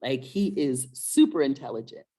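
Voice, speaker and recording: Low-voiced, encouraging woman hosting story time. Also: animated, moderate pace, mellow. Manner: encouraging, often asks questions. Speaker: host of a children's story-time webinar. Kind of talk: casual conversational presenting to and with a child.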